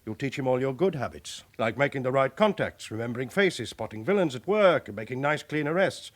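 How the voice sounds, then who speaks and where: Stern, lively British police chief, played by a man. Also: medium pitch, fairly quick, close to an everyday voice. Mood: brisk, commanding. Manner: authoritative, clipped, orderly delivery. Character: stern, brisk male police chief inspector, dryly commanding. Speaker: actor in a radio drama. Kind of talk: British radio drama acting.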